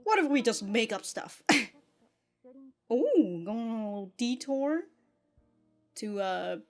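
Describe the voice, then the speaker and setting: A woman with a fairly high voice gives casual running commentary as a reaction host. She takes her time, talks loosely with some swearing, and is giggly by nature. Music plays softly underneath her. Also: big pitch swings, slow pace. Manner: giggly, often breaking into laughter.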